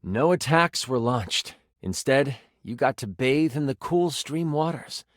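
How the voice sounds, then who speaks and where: A man's voice: medium pitch, animated, a little slow, no echo, clean studio sound, lightly acted. A man playing a playful game master, narrating a fantasy tabletop adventure in a theatrical, dramatic storytelling style. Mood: wistful. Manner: theatrical, second-person storytelling.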